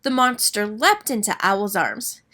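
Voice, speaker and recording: A woman reading a children's story aloud as an expressive narrator. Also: medium pitch, a little slow.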